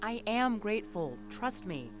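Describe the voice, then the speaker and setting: A woman's voice: medium pitch, very animated, measured pace, over music. A young woman playing a guarded, sardonic private investigator in an audio drama, with a dry, reluctant delivery.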